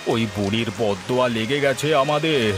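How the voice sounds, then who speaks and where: Gruff village husband, voiced by a man, over loud music. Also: mid-range voice, moderate pace, close to an everyday voice. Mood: alarmed, worried. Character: gruff village husband who sells tap water. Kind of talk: Bengali moral-tale cartoon dubbing.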